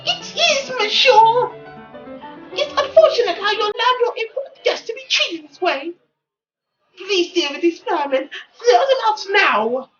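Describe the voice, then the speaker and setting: Shrill, haughty noblewoman. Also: expressive, measured pace, fairly bright tone, heavily acted. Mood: indignant. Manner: imperious, shrill outrage. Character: haughty, indignant noble lady demanding vermin be removed. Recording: dull lo-fi audio with faint music.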